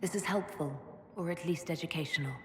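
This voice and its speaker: An actress playing a composed young elf leader in a dramatic video game, measured and serious, firm yet tender. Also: female voice, low voice, slight background noise, close to an everyday voice. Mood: guarded.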